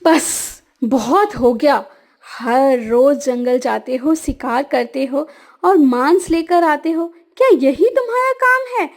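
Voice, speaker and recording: Cartoon village wife, voiced by a woman: very high, scolding, morally earnest. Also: lively intonation, takes it slowly, exaggerated voice. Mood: fed up. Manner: nagging, reproachful. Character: scolding, kind-hearted village hunter's wife.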